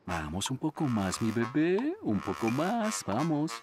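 A man's voice: mid-range voice, huge pitch swings, unhurried. A man voicing a doting middle-aged cartoon father with a coaxing manner. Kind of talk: Spanish-language cartoon dub. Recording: loud music bed behind voice.

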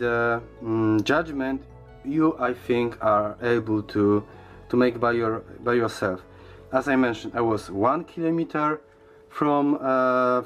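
A man giving a casual unboxing and product review in a halting, hesitant way, often repeating words. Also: mid-range voice, fairly bright tone.

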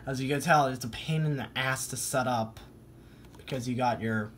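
A man talks casually in a mid-range voice, as if showing his collection to viewers at home. He halts and thinks aloud as he goes. The amateur handheld recording has clear noise behind him. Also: mid-range voice, moderate pace, a bit bright. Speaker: hobby vlogger showing a weather radio collection. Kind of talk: casual handheld show-and-tell vlog. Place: indoor room at home. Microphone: handheld camera or phone, behind the lens.

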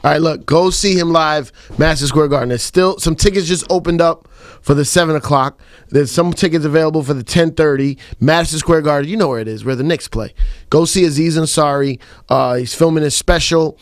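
A man's voice: fairly high pitch, a little fast, no echo. A man in his thirties hosting a radio interview, teasing his guest with playful questions. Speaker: radio host. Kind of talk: casual radio interview chat. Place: radio studio. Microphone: studio mic on a boom arm.

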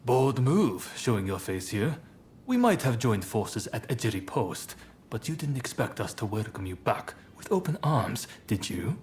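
An actor playing a composed, resolute samurai rebel leader in a video game, speaking in a guarded, formal, measured way. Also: male voice, medium pitch, very animated, darkish tone, slight background noise. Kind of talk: video game cutscene voice acting. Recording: dramatic music behind the voice. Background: game score.

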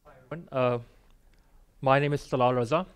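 A man's voice: medium pitch, brisk, clear, resonant tone. A man from Pakistan with a Pakistani accent, speaking semi-formally as a panelist at a conference. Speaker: panelist, digital rights journalist. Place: seated on stage in a conference room.

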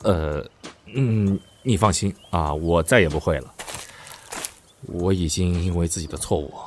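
A man playing a melodramatic young prince in a Chinese costume audio drama, theatrical and stammering when flustered. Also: deep voice. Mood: flustered, earnest. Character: young lecherous prince, secretly another soul, theatrically grieving and scheming.

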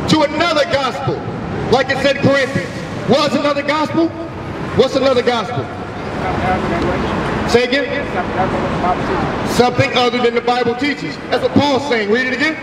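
A man's voice: very high voice, moderate pace, pauses often, very noisy. A man preaching on a city sidewalk, questioning his listeners in call-and-response. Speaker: street preacher. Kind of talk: street preaching. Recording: echoey outdoor street sound with crowd, from far off.

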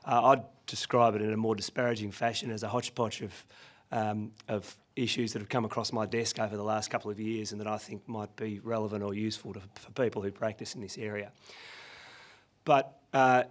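Husky Australian man at a podium. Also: on the low side. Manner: self-deprecating anecdotal delivery with frequent fillers. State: husky, recovering from lost voice. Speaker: barrister presenting a seminar. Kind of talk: professional seminar talk. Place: conference room. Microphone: podium microphone.

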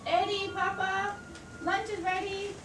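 Lady doing cheery kid girl bear puppet, super high, echoey room. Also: monotone, a little slow. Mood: excited. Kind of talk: children's puppet show acting. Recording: echoey, noisy room from far off.

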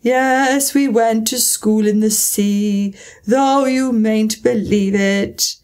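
A woman's voice: fairly high pitch, steady tone, a little mellow. A woman doing a sobbing, sighing Mock Turtle. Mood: mournful. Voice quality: slow, drawn-out, sighing. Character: mournful sobbing male Mock Turtle creature.

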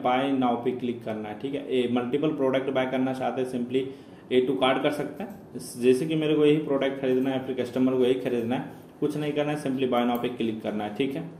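A man's voice: mid-range voice, darkish tone. Man walking viewers through a tutorial. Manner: explains step by step, addresses viewers as friends. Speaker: tutorial presenter. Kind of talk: screen-recorded tutorial. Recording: echoey room with faint noise.

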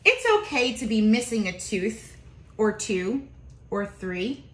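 A woman's voice: mid-range voice, slow pace, a little hiss or hum. A woman in her thirties reading a picture book aloud to young children, expressively, with simple explanatory asides. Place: home kitchen, indoors. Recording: roomy, echoey home kitchen.

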